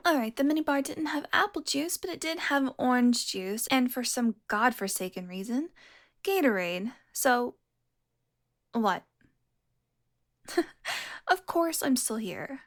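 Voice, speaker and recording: A woman playing a seductive, teasing vampire who is smugly tender toward her prey, in an intimate audio roleplay with breathy, slow murmuring. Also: high voice, lively intonation. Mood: wry, caring. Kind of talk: intimate audio roleplay, addressed to one listener.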